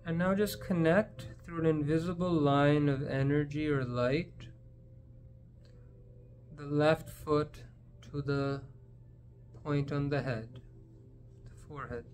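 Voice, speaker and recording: A man leading a guided meditation in a gentle, unhurried manner, leaving long pauses between phrases. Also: on the high side, fairly even intonation, faint music behind.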